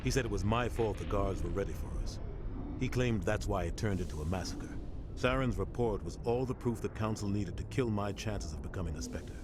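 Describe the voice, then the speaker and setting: An actor playing a bitter veteran soldier captain, speaking in a measured, grim tone. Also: male voice, lowish voice, lightly acted. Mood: tense. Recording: game cutscene with ambient noise.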